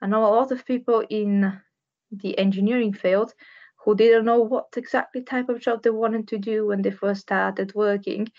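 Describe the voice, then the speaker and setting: A woman in her twenties from Bulgaria, with a Bulgarian accent, giving an informal careers talk to camera as an engineer. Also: medium pitch. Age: 20s. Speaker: civil structural engineer, careers ambassador. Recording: dull, muffled webcam audio.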